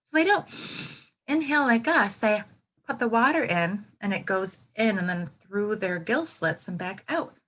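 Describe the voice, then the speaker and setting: A woman teacher reading aloud to young children in an expressive way, pausing to add asides and prompt them with questions. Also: mid-range voice. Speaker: elementary school teacher.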